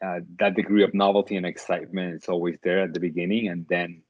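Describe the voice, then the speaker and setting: A man's voice: low-pitched, little pitch movement, fast, breathy edge, no echo, lo-fi sound. A man in his thirties from the Dominican Republic, a startup CEO answering interview questions thoughtfully, with many fillers and restarts.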